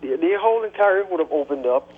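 A man calling into a talk radio show, his voice thin and tinny over the phone line. He starts hesitantly and repeats himself, then presses his point with indignation. His voice sits slightly higher than most men's. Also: animated, fast talker. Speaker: caller.

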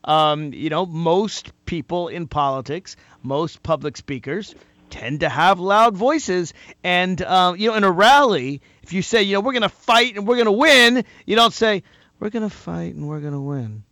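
A man hosting a call-in radio show, loud and emphatic, with a slightly higher voice than most men. He talks into a dry, close studio microphone, repeating phrases to drive his points home. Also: moderate pace, no room sound. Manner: animated, emphatic, repeats phrases, laughs often. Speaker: radio talk show host. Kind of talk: political call-in talk radio.

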